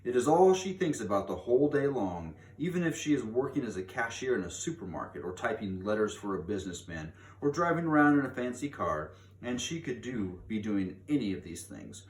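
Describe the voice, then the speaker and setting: A man's voice: fairly low pitch, sing-song, brisk. A man reading a children's book aloud in a theatrical, emphatic manner, drawing out key words for drama. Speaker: read-aloud storyteller. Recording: distant mic, echoey room, background noise.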